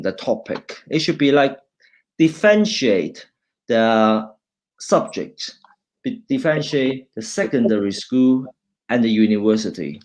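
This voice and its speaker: A middle-aged man from Hong Kong with a Hong Kong accent and Cantonese as his first language, an engineering lecturer giving an informal, halting talk full of restarts. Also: mid-range voice. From China, raised in Hong Kong. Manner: halting, with many restarts, switching between English and Cantonese. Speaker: university lecturer, guest speaker. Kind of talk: informal talk presenting slides.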